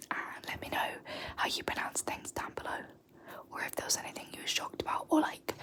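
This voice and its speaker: A young woman whispering softly and slowly repeating words for an ASMR tag video. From South Africa. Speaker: YouTuber.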